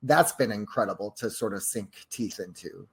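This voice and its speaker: A man's voice: on the low side, normal speed, vocal fry, husky. A man co-hosting a casual podcast conversation, effusive and courteous as he puts questions to colleagues.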